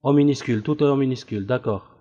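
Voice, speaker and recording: A man with a mellow, relaxed voice speaks in a dull lo-fi call recording. He explains things casually but haltingly, feeling his way through each sentence. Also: medium pitch. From Italy. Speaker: debate room host. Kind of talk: casual phone conversation.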